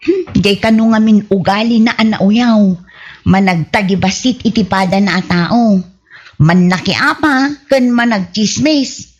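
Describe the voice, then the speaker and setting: A woman's voice: medium pitch, unhurried, lo-fi sound, natural voice. A woman playing a modest, chatty village wife who exclaims conversationally in a comedy radio drama.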